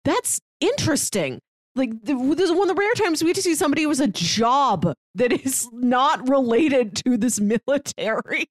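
A woman's voice: high-pitched, lively intonation, normal speed. A woman co-hosting a manga discussion podcast, talking casually and slipping into little mock dialogues to make her points. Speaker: podcast co-host reviewing manga.

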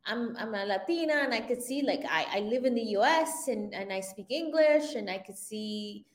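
A woman who grew up in Venezuela, a filmmaker guest in a casual interview, talking in an animated way and laughing often. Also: a little high, normal speed. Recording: roomy echoey sound.

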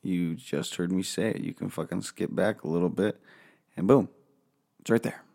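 A man hosting a casual solo podcast from his home office, rambling and swearing freely, with a hoarse voice. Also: deep voice, normal speed, dark tone. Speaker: podcast host.

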